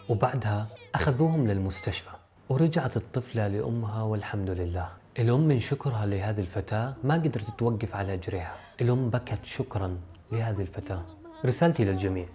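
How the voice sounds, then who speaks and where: A man's voice: medium pitch, dark tone. A man, telling a story dramatically as a video host. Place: furnished indoor room. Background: faint background music.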